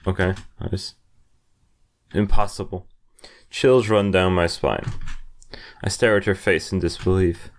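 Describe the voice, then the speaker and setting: Low-voiced young man reading a game aloud. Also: steady tone, measured pace, long pauses. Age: young adult. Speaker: gaming streamer reading a visual novel aloud. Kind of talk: casual playthrough reading and commentary. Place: indoor room at a computer. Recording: game music behind the voice.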